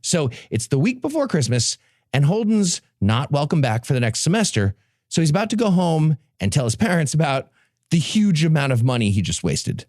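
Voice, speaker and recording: Man, podcast host, higher-pitched voice, swooping intonation, sarcastic, profane, comedic recap, dry close sound. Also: sing-song, moderate pace, a little mellow, no room sound. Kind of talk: comedic book recap to co-hosts.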